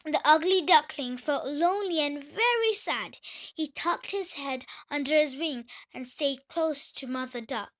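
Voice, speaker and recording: A woman reading a children's story aloud as the storyteller, with an expressive delivery. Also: high-pitched, a little slow.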